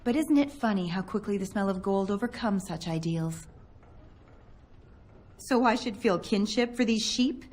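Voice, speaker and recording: A woman's voice: mid-range voice, normal speed, darkish tone, lightly acted. A woman playing a cold, haughty enforcer mage. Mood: cold disdain. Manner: cold, disdainful, commanding. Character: haughty female mage enforcer from a slaver empire. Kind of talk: video game cutscene acting.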